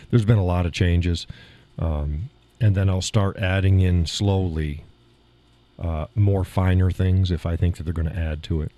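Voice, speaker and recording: Deep-voiced guy hosting his live stream, bit of gravel, chuckles a lot, rambles on. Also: dark tone. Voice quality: slight gravel in voice. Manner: rambling, chuckling between remarks. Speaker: live show host. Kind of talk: casual live-stream chat. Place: home studio in a weight room. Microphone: studio mic through mixer board.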